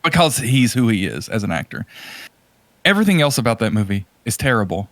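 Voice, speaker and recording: A man's voice: mid-range voice, sing-song, fairly quick, a bit bright, dry recording. A man co-hosting a podcast, chatting casually and giving strong opinions about movies with exasperated emphasis, often repeating words for stress. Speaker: podcast co-host.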